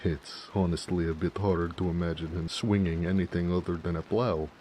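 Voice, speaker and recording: Casting: male actor as a tender, shy orc blacksmith for romance audio, very low and dark-toned, hesitant, homely. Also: fairly even intonation, a little hiss or hum, lightly acted. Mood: warm, chatty. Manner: soft-hearted, hesitant, with pauses and hedging. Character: gentle, bashful, affectionate male orc blacksmith, homebody. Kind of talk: intimate romantic audio roleplay acting.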